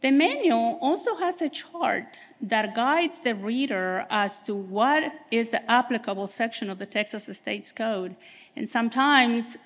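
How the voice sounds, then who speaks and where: Soft-spoken woman presenting in a hall. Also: on the high side. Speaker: attorney giving a legal presentation. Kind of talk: conference presentation. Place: conference hall. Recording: reverberant hall.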